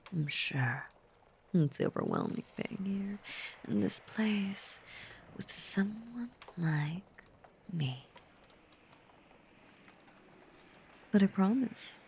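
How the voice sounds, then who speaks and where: A woman playing a possessive, obsessive female werewolf in an intimate audio roleplay, half-whispering with slow, seductive menace. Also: deep voice, slight background noise, exaggerated voice. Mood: tender, possessive. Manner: slow, lingering, seductive delivery.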